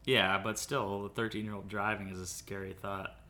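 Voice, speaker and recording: A young man hosting a casual podcast chat, rambling and laughing often, drifting into quieter asides. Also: flat delivery, moderate pace. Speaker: podcast host. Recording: faint background noise and rumble.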